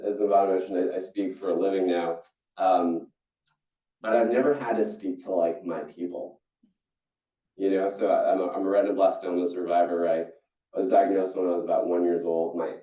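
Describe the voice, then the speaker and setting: A young man from Singapore gives a storytelling keynote with a mellow, darker voice, often restarting his sentences. He sounds far from the mic in an echoey hall, and the recording is dull and muffled. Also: normal speed. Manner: storytelling, animated with jumps in pitch and frequent restarts. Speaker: keynote speaker. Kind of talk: motivational keynote talk. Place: conference hall.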